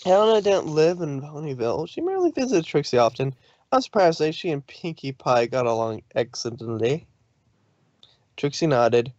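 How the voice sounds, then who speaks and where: A man's voice: fairly high pitch, big pitch swings, normal speed, a little mellow, dry recording, lo-fi sound. A man narrating a fan fiction story from a script.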